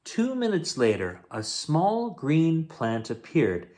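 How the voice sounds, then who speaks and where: A man reading a children's picture book aloud as a bedtime story host, in an expressive, dramatic way. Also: on the high side, slow, a little hiss or hum.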